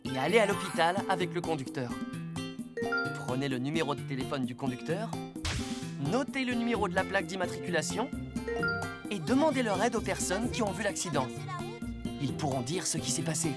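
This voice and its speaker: A woman voicing a calm, reassuring rescuer who teaches children safety in a French-dubbed cartoon. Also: on the low side, fairly quick, long pauses, over loud music, close to an everyday voice. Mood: instructive. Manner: reassuring, instructive.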